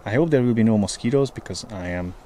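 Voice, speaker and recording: A man in his thirties from Hungary, casually talking to a close-held camera outdoors in the woods, often pausing with uh. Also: on the low side, warm tone, slight background noise. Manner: hesitant, many filler uhs. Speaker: vlogger.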